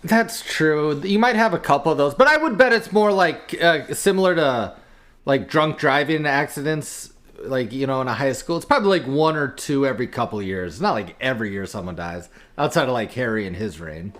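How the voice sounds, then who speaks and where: A man's voice: mid-range voice, lively intonation, brisk, fairly bright tone. A man co-hosting a podcast with casual comic banter, blunt, irreverent and freely profane. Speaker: podcast co-host.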